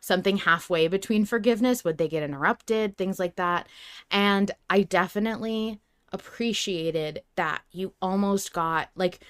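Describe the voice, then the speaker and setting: A woman's voice: mid-range voice, moderate pace, clear, resonant tone, dry recording. A woman co-hosting a podcast, chatting casually and enthusiastically about a show she follows, with emphatic delivery. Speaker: podcast co-host. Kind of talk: casual fan discussion.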